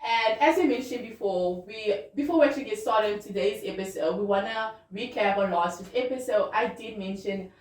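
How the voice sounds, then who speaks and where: Brief: young woman radio host, chatty and giggly, mid-pitched, heard from a distant mic in a very echoey studio. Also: fairly quick, hardly any pauses. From Congo. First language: Swahili. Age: young adult. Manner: bubbly, laughs often, teaching phrases. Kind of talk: casual youth radio chat.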